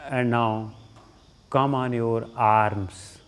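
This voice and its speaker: A man with an Indian accent leading a guided relaxation as a yoga teacher, giving short instructions with long pauses between them. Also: medium pitch, steady tone, a bit bright, smooth.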